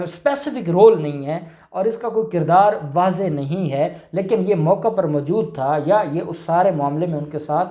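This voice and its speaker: Higher-pitched Pakistani man explaining law. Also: darkish tone. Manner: explanatory, repeats key phrases, mixes in English legal terms. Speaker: lawyer, guest expert. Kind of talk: informal legal explanation in question-and-answer show.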